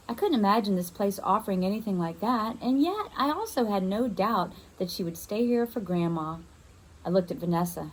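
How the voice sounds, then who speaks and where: A woman reads a children's first-person novel aloud in an expressive storytelling style, as a teacher would. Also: medium pitch, husky, poor audio.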